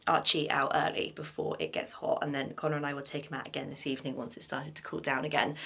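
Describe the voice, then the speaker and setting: A woman's voice: on the low side, vocal fry, fairly bright tone. Chatty young woman vlogging on a walk. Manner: chatty, upbeat, fillers and self-corrections, talks to her dog. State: slightly croaky morning voice. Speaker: lifestyle vlogger. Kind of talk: casual vlog chat to camera. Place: outdoors on a morning walk. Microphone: phone held while walking.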